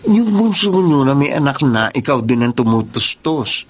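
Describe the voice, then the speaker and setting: A man's voice: medium pitch, very animated, moderate pace, husky. A man playing a concerned young sweetheart in a Tagalog radio drama, speaking earnestly. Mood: concerned, insistent.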